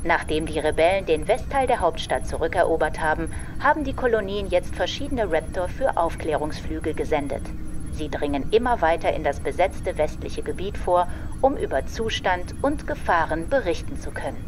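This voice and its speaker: A woman's voice: sits low, lively intonation, normal speed, rough recording. A woman playing a formal, composed spaceflight news announcer in a radio play. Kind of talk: scripted in-flight news announcement.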